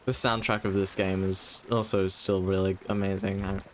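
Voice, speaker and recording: A man's voice: sits low, little pitch movement, moderate pace, noisy background. A man doing casual live video game commentary, thinking aloud with playful exclamations. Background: game music.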